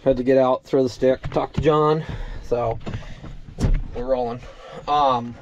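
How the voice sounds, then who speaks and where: A man's voice: mid-range voice, steady tone, a little slow, highs cut off. A man in his thirties, a farm vlogger, talking casually and thinking aloud as he works from a tractor cab, filmed on a cab-mounted action camera. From New York, USA. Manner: rambling, easygoing, thinking aloud. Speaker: farmer vlogger. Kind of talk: casual narration while working. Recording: loud engine noise behind voice. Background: loud tractor engine.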